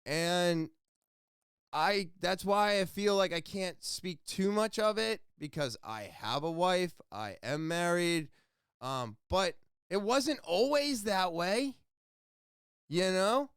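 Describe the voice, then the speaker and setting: A man speaks slowly into a close mic on his own podcast, earnest and rambling, with blunt, profane asides. His voice is smooth and resonant, a little high for a man and lively in pitch. The sound is dry, with no room around it. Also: dry recording. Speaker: podcast host. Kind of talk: casual solo podcast monologue.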